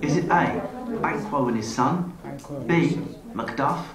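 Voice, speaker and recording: A man's voice: a little high, takes it slowly. Wry man narrating in a roomy recording. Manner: wry, playful. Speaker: comedian and presenter. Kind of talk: comic scripted narration. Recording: roomy, distant playback with loud crowd noise.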